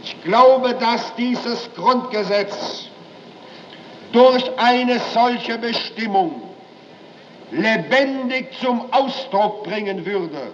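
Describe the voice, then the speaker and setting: An actor playing a solemn, impassioned post-war German parliamentarian, giving a formal speech in deliberate, oratorical phrases. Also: male voice, extremely high pitch, fairly bright tone, recorded from a distance, muffled recording, exaggerated voice. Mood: solemn, resolute. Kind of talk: formal political speech to an assembly. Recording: echoing hall from afar, faint noise.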